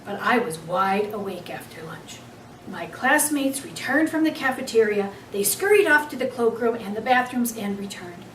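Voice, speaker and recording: A woman's voice: mid-range voice, animated, normal speed, distant mic. Woman reading a children's story, roomy sound. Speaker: story reader. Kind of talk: children's book read aloud, expressive narration. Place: echoey room, away from the mic. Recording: roomy, off-mic, faint background noise.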